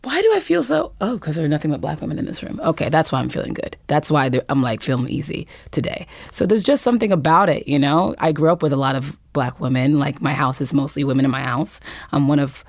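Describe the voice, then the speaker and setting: Female panel guest, low register, reflective and halting, casual talk, dry recording. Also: animated, vocal fry, no echo.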